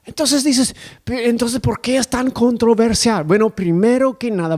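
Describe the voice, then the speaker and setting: A man preaching a sermon in Spanish, which is not his first language, speaking carefully with pauses and restarts and praying aloud. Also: darkish tone. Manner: raises pitch in a preaching tone when reading scripture.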